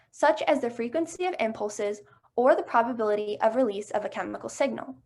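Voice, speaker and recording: A young woman from Kentucky presenting part of a student neuroscience proposal, reading steadily and carefully. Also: on the high side. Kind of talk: read research presentation.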